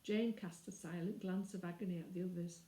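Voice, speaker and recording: A woman reading a children's book aloud as an expressive storyteller. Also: lowish voice, brisk, echoey room.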